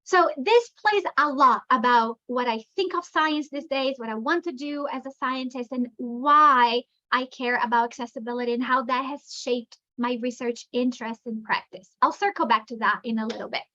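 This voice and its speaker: A high, bright voice from a woman from Brazil whose first language is Portuguese, delivering a plenary lecture. She talks with eager enthusiasm and restarts her sentences often. The recording sounds dull and lo-fi. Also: moderate pace, hardly any pauses. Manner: enthusiastic, conversational with frequent restarts. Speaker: plenary speaker. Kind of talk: academic lecture.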